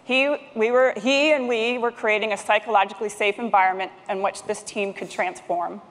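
A woman's voice: medium pitch, fairly even intonation, normal speed. A middle-aged woman giving a prepared conference presentation from a stage as a speaker. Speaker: conference speaker, technology manager. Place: large conference stage, indoors. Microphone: headset microphone. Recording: long hall reverb.

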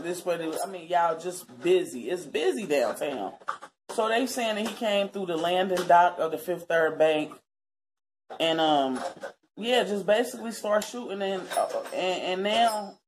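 A woman's voice: lowish voice, a little mellow, a little hiss or hum. A woman in her thirties from Cincinnati, talking earnestly and casually to her viewers from her living room. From Cincinnati, Ohio, US. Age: 30s. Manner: chatty, earnest, keeps addressing viewers as y'all. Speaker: vlogger. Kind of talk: casual talk to camera.

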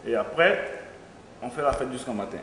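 A man's voice: medium pitch, animated, a little fast, a bit bright. A young man, an event organizer, answering interview questions hesitantly with many fillers. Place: small studio with logo backdrop. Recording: echoey studio room, faint noise.

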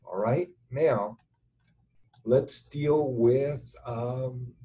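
Male instructor, middle-aged, unhurried and soft-edged, informal screen tutorial with deliberate, halting delivery. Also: mid-range voice. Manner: halting, deliberate, thinking aloud while writing. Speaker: structural engineering instructor. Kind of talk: informal tutorial explanation over screen share. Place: indoors at a computer, webcam.

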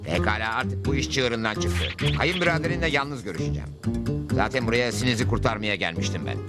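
A man voicing a calm, exasperated cowboy hero in a Turkish cartoon dub, firm and dry. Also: fairly low pitch, brisk, over loud music, natural voice.

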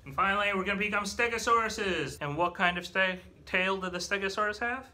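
An American man in his thirties leads a children's storytime as a librarian, speaking in an animated, exaggerated way for young listeners. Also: high voice, a little fast. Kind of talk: children's storytime, leading rhymes and movement songs. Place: small indoor meeting room with whiteboard.

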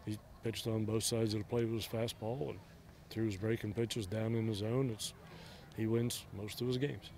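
A man's voice: on the low side, little pitch movement, normal speed, a little mellow, slight background noise. A middle-aged man, a head baseball coach, giving short blunt answers in a postgame interview outdoors at a ballpark at night. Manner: blunt, terse, matter-of-fact answers.

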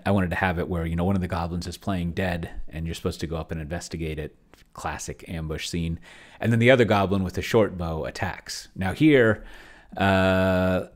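A middle-aged man with a low voice hosts an unscripted video walkthrough. He thinks out loud in a rambling way, often dropping one thought to start another. He is speaking into a close desk microphone indoors. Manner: rambling, trailing off mid-thought. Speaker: video host. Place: indoor room at home, desk setup. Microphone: desk microphone close to the mouth.

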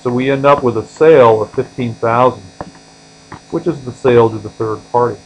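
A man's voice: mid-range voice, normal speed, rough recording. A man teaching as an accounting instructor, explaining examples step by step in a tutorial style, starting phrases firmly and trailing off at the ends. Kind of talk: explanatory tutorial lecture, walking through on-screen examples. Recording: steady mains hum.

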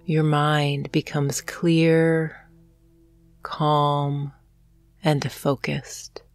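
A woman narrating a guided hypnosis meditation in a soothing, gentle manner, leaving long pauses between phrases. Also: low voice, little pitch movement, no echo. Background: soft meditation music with singing bowls.

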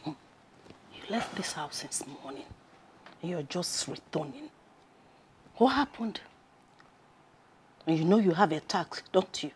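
A woman's voice: lowish voice, lively intonation, slow pace, pauses often, a little hiss or hum. An actress playing a stern, imperious mother-in-law with a Nigerian accent, scolding and commanding in a film drama. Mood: suspicious, reproachful.

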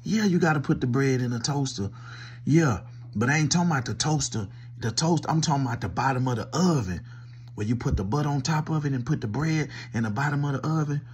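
A man's voice: mid-range voice, fast talker, husky. A middle-aged man with a cold and a stuffy nose, doing a teasing one-sided pretend call into his phone from a small room. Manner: loose, teasing, talking to an unseen listener. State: sick with a cold, coughing. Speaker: comedy vlogger. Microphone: phone held close.

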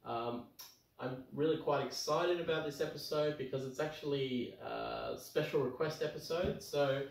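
Australian man, 30s, croaky, chatty home cook, recorded from across a roomy kitchen. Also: a little high, very animated, normal speed. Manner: chatty, upbeat, lots of ums. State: croaky from tonsillitis. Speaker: home cooking show host. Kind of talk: casual cooking demonstration. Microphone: camera across the kitchen. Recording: roomy kitchen sound, far from mic.

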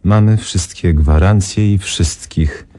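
A man playing a smug slow company boss. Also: low-pitched, mellow. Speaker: company president. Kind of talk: 1990s radio drama acting.

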